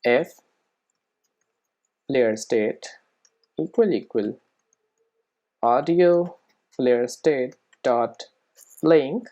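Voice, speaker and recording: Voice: medium pitch, expressive, very slow, long pauses, warm tone. Coding instructor explaining step by step. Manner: frequent check-in tags like "right?" and "okay". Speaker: programming tutorial instructor. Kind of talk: casual screen-recorded coding tutorial, explaining step by step.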